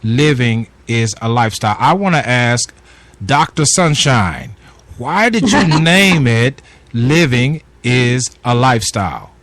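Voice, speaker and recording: A man's voice: mid-range voice, very animated, takes it slowly, fairly bright tone, no room sound. A man hosting a radio interview show, speaking in a sing-song, preacherly way with effusive introductions.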